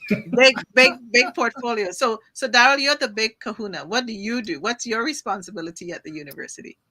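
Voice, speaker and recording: A woman's voice: a little high, fairly quick, bright, forceful tone, slight background noise, low-quality recording. A woman hosting an online talk show, interviewing guests in a bubbly, playful, effusive way. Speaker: host.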